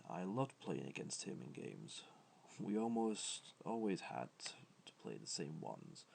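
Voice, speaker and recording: Gentle immortal god for ASMR audio roleplay, played by a man: deep, crackly, hushed, fond and unhurried. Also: heavily acted. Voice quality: low, soft, creaky, breathy at times. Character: gentle, ancient male god, fond, comforting, modest. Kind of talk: soft-spoken ASMR comfort roleplay.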